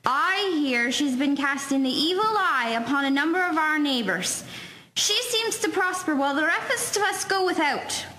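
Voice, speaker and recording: A woman's voice: animated, normal speed, bright, forceful tone. A woman playing a suspicious, resentful, gossiping farm wife in a historical drama. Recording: echoey room with faint rumble.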